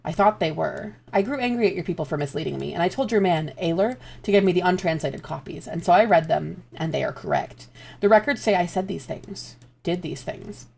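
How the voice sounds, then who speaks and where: A woman's voice: fairly low pitch, lively intonation, brisk, creaky, darkish tone. A woman voicing a stern, ancient female cult leader who speaks tersely and formally. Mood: grave.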